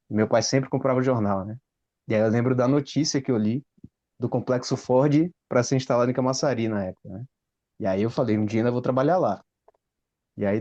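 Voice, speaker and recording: A man's voice: medium pitch, darkish tone, highs cut off. A man, telling his story casually with frequent tag questions. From Salvador, Brazil. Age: 30s. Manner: rambling, frequent "né?" tags. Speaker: guest.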